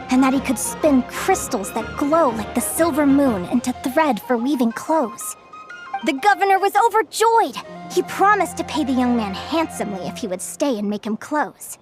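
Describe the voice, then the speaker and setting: An actress playing a gentle, scholarly young woman in a game, telling stories in a measured, articulate and enthusiastic way. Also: sits high. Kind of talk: storytelling in an English game dub.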